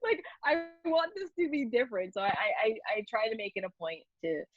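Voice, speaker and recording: A woman's voice: a little high, sing-song, fairly quick, lo-fi sound. A woman interviewer chatting casually in a playful, rambling way. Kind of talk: casual chat.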